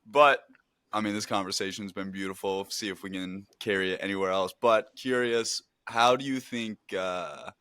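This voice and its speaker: Bright-voiced American man, low pitch. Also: steady tone, normal speed, clean tone. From United States. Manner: slangy, teasing questions. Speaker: podcast host. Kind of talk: casual banter chat.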